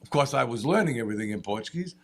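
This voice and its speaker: A man speaks on a panel and tells family stories. He gets animated as the anecdotes build, and his voice sits at an ordinary pitch. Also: racing, gravelly. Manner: animated storyteller, laughs at own jokes. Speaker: state representative.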